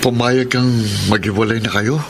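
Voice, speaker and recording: A man's voice: mid-range voice, steady tone, normal speed, natural voice. A man playing an earnest young Filipino man in a radio romance drama. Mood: surprised, concerned. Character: earnest young man, suitor or concerned friend. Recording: noisy ambience behind voice.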